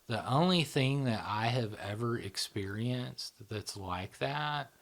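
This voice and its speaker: A man's voice: mid-range voice, animated, takes it slowly, vocal fry. A man, the founder of a psychology channel, explaining ideas in casual conversation with many fillers and tag questions, often trailing into a near whisper.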